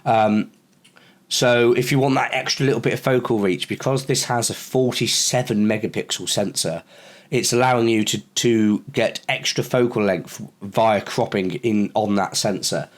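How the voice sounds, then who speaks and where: A man with a sore throat who sounds a little croaky, chatting casually in a review vlog with lots of fillers and restarts. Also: on the low side, normal speed. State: hoarse from a cold. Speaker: camera reviewer.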